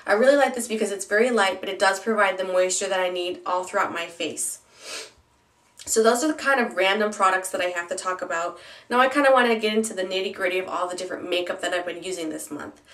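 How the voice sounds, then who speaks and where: A young woman talks through her favorite products in a relaxed, chatty way, her voice stuffy and nasal from a cold. She trips over words and fills gaps with ums. The sound is roomy and a little distant, as if the mic sits across the room. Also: mid-range voice, quick pace. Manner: chatty, with frequent ums and restarts. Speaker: beauty vlogger. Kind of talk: casual product review.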